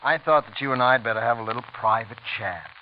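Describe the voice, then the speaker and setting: Actor as brash, needling young prosecutor, old radio. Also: male voice, medium pitch, fast talker, natural voice. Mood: smug, probing. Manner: blunt, smug, needling. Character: clever, brash, unscrupulous young male assistant district attorney. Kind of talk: 1940s radio drama acting. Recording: muffled, noisy vintage radio recording.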